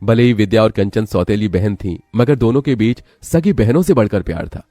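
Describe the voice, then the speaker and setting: A man's voice: on the low side, expressive, brisk, dark tone, no echo, studio quality, faint music behind. A man narrating a scripted story as its storyteller.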